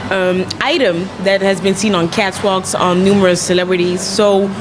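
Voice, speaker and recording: A woman's voice: medium pitch, fairly even intonation, moderate pace. A young woman from Ghana talking casually and informally as a guest in a panel discussion. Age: young adult. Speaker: guest, PR agent. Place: glass-fronted cafe, indoors at a table. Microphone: shared handheld interview microphone. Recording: clear background noise of busy venue.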